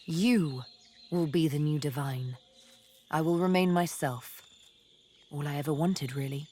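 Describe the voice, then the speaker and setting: An actress voicing a vengeful, seductive elf assassin in a video game, deliberate and teasing, often murmuring. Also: female voice, low voice, warm tone, slight background noise. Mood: flirtatious, intimate.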